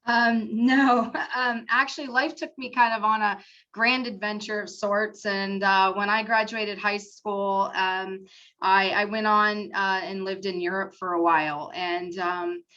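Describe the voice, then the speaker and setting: A middle-aged woman on a career panel, telling her path earnestly with many fillers and pauses. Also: mid-range voice, steady tone, bright, forceful tone, dull audio. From South Florida. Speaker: school district HR manager, panelist.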